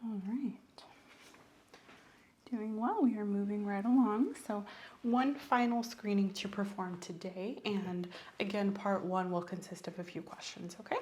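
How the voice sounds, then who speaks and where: A woman doing an ASMR doctor roleplay, soft-spoken, gentle and instructive, often slipping into whispers. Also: mid-range voice, steady tone, a little slow. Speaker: ASMR performer role-playing a doctor.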